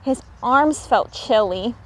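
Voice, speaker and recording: A woman teacher reading a children's storybook aloud in an expressive, deliberate way. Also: a little high, low-quality recording.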